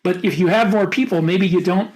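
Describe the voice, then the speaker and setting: An American man with a notably high-pitched voice talks as a podcast co-host. He offers opinions in a casual, rambling way, as part of a panel discussion. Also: brisk, a little mellow, poor audio.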